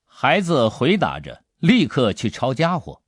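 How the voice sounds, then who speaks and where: A man narrating an audiobook adventure story in an expressive, dramatic storytelling manner. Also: medium pitch, measured pace, no echo, clean studio sound.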